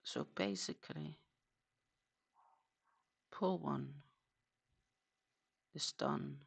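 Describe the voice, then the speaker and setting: A woman's voice: low voice, expressive, drawn-out words, gravelly, breathy edge. A woman with a Danish accent chatting casually on a crochet live stream, counting her stitches aloud and answering viewers.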